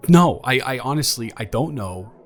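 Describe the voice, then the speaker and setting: A man's voice: mid-range voice, lively intonation, moderate pace, lightly acted. A young man playing an awkward, caring college-age ex-boyfriend in an improvised intimate audio roleplay, earnest and stammering as he rambles. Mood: puzzled, teasing. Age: young adult.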